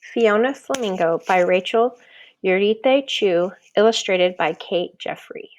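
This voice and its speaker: A woman reading a children's picture book aloud as an expressive, deliberate storyteller who leans on the rhymes. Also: mid-range voice, low-quality recording. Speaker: storybook reader.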